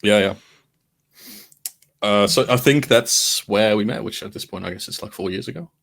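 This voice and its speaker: A man chatting as a podcast guest, laid-back and self-deprecating, often trailing off quietly. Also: on the low side, normal speed, gravelly. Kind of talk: casual chat.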